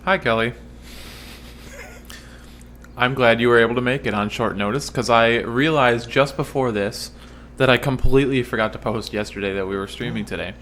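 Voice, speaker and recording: A man of middle pitch hosting a casual podcast from a small home studio. He keeps it loose and jokey and laughs easily, with a faint hiss behind his voice. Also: normal speed, pauses often, a bit bright. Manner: jokey, upbeat, laughs often. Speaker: podcast host. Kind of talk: casual chat.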